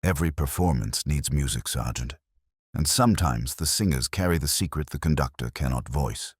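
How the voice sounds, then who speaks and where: Man playing a deep-voiced, shrewd, probing police inspector. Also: breathy edge, no echo, studio quality. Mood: thoughtful. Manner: soft-spoken, deliberate, probing.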